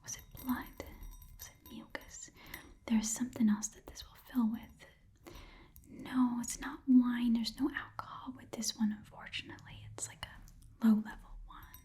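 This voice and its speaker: A woman voicing a friendly, odd little goblin pawnshop keeper in a soft whispered ASMR roleplay, chatty and hesitant. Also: fairly high pitch, level tone, a little slow, natural voice. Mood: chatty, musing. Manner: hesitant, rambling, chatty, quirky politeness. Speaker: ASMR performer.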